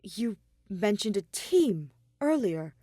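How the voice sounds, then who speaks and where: A woman's voice: mid-range voice, big pitch swings, takes it slowly, dark tone, no echo, clean studio sound. A woman voicing an earnest, anxious young male detective in an audio story, wary and easily flustered. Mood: anxious, reasoning. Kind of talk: fan fiction audio story character voice.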